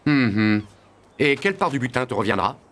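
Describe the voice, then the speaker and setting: An actor playing a hungry young soldier, wary but good-natured, in an animated fairy tale, with expressive exclamations. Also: male voice, medium pitch, normal speed. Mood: wary, curious.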